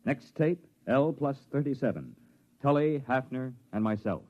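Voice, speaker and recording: Male actor, sober biologist, clipped dictated log, vintage radio drama, middling pitch, muffled old recording. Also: animated, normal speed, close to an everyday voice. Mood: clinical. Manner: clipped, matter-of-fact. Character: colonial expedition biologist dictating a sober report. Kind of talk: 1950s radio drama narration.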